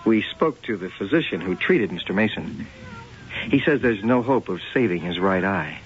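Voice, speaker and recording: Expressive, businesslike police lieutenant played by a man. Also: fairly low pitch, normal speed, lightly acted. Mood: stern, businesslike. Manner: businesslike, direct. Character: matter-of-fact male police lieutenant. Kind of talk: 1980 radio drama acting. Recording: dull noisy old radio broadcast.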